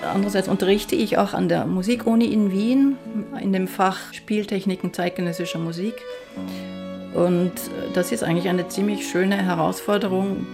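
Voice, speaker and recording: A woman's voice: medium pitch, normal speed, vocal fry, over music. A middle-aged woman who grew up in Austria, a violinist speaking reflectively and with enthusiasm about her work in a documentary interview.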